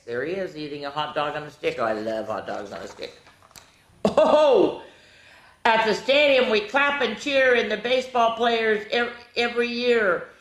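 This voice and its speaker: A middle-aged or older woman hosting children's storytime, reading picture books aloud in an animated way, stretching key words and chatting directly to the kids. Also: medium pitch. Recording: roomy, echoey room sound.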